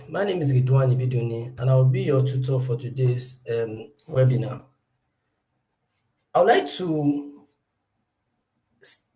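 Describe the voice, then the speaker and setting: A man with a mellow, relaxed tone opens his online lesson for children. He speaks politely, with small restarts here and there, over a video call. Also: fairly high pitch, poor audio. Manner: polite, slightly hesitant with restarts. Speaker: AI tutor. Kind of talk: friendly class introduction.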